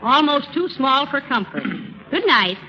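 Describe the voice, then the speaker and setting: A woman playing a dry, sensible, wry wife in a 1930s radio comedy, polite and deadpan. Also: high voice, measured pace. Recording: muffled, very noisy 1930s radio recording.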